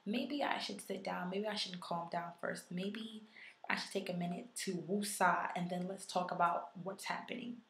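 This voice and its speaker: A woman talking casually and thoughtfully in a video response, hoarse because she is losing her voice from illness. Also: fairly low pitch, normal speed, fairly bright tone, echoey room, a little hiss or hum. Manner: conversational, reflective, with frequent ums. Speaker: YouTuber.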